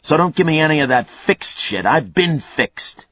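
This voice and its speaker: A man's voice: medium pitch, big pitch swings, unhurried, husky, no room sound, muffled recording, voice pushed up. A male narrator voicing a taunting, unhinged fugitive killer in an audiobook, with a crude, swaggering manner. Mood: growling.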